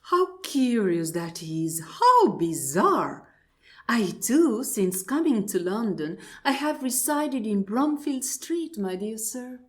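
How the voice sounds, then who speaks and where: Woman playing genteel, wonderstruck lady, very measured. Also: a little high, big pitch swings. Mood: mild astonishment. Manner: formal, exclamatory, repetitive politeness. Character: genteel, wonderstruck married lady marvelling at coincidences. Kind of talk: absurdist stage dialogue played as radio drama.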